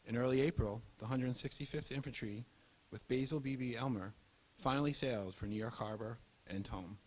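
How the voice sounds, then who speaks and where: A male narrator delivers scripted history in a mid-pitched voice. His delivery is formal and measured, as in a documentary presentation. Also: poor audio.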